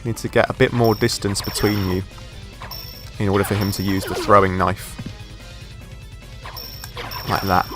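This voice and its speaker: Croaky man narrating gameplay. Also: lowish voice, a little slow, long pauses, music in the background. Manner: relaxed, thinking aloud while playing. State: croaky from a sore throat. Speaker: gameplay commentator. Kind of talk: casual let's play commentary.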